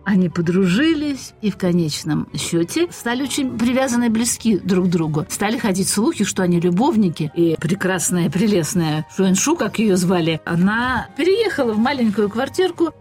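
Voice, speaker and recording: A woman telling a historical anecdote in a conversational, expressive, unhurried storyteller's way with literary phrasing. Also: medium pitch, faint music behind.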